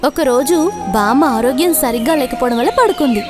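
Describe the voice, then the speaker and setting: High-pitched woman storyteller. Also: lively intonation, a little fast, over music. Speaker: narrator. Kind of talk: scripted children's story narration.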